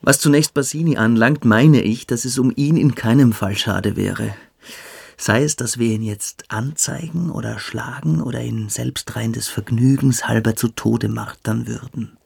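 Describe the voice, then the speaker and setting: A man playing a calculating, cruel young military-school cadet in a German radio drama, speaking with deliberate, coolly superior menace. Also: mid-range voice, gravelly, darkish tone, slightly rough, heavily acted. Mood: cold, calculating.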